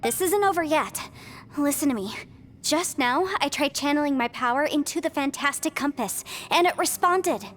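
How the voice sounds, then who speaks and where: A woman's voice: high-pitched, lively intonation, moderate pace, fairly bright tone, slight background noise. A woman playing an earnest, determined young half-immortal heroine in a video game, explaining and encouraging. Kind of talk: video game story acting.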